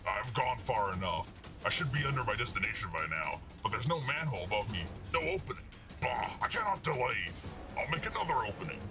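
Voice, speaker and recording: A man playing a huge, bitter, gruff monster in a dramatic comic-book reading, scornful and declamatory. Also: medium pitch, big pitch swings, a little fast. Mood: scornful, impatient. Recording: music behind the voice.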